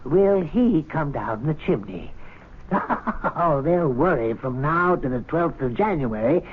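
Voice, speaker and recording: A man's voice: fairly high pitch, lively intonation, takes it slowly. An actor playing a spiteful, gleeful older male club servant in a radio drama, grumbling and scornful, moving between muttering and exclaiming. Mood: gleefully sly. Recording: tinny, band-limited, noisy old radio broadcast.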